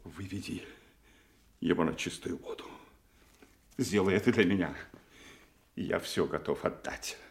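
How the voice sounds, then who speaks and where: Slow, theatrical older nobleman. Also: deep voice, vocal fry. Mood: grieving, foreboding. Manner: theatrical, emphatic, swinging from hushed to outraged. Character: aging gullible nobleman father, anguished and suspicious. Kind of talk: classical stage acting for an audio play.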